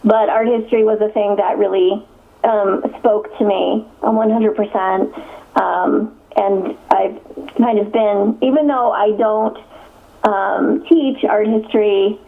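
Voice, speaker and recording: A woman's voice: mid-range voice, creaky. Chatty woman on a tinny phone line. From Connecticut, USA. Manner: chatty, many fillers and restarts, laughs often. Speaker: author guest. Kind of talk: casual interview answers.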